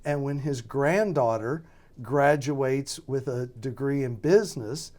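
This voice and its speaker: An American man being interviewed as a radio drama producer, talking casually and haltingly as he thinks aloud. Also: medium pitch, darkish tone.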